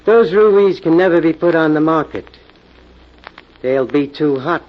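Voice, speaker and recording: An actor playing a hard-nosed, coolly menacing American gambling club boss in a 1940s radio drama, firm and skeptical, on an old recording. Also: male voice, on the high side, measured pace, highs cut off, lightly acted. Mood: skeptical, probing. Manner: firm, skeptical, tough-talking.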